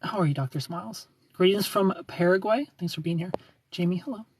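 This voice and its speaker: A man's voice: high-pitched, a little fast, slight background noise. A man in his thirties hosting a casual livestream, reading viewer comments aloud, joking about himself and laughing often. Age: 30s. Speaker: livestream host.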